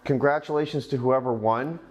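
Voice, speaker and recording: A man's voice: medium pitch, a little fast. Enthusiastic man telling film anecdotes. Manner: enthusiastic, rambling with restarts. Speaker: film director. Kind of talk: interview, storytelling anecdotes.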